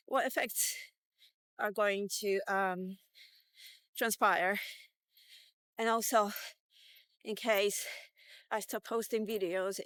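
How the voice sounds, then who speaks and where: A woman in her fifties speaks as a casual vlogger, candid and inclined to ramble. Her voice is smooth and clear, and she takes her time between phrases. The sound is very dry, with no room echo. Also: mid-range voice, a bit bright. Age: 50s. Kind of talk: casual walk-and-talk vlog.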